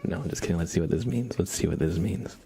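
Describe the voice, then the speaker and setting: A young adult man speaking softly and gently as an ASMR livestreamer, half whispering as he chats casually to viewers. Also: low-pitched, fast talker, gravelly, slight background noise. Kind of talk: soft-spoken ASMR, casual chat with viewers.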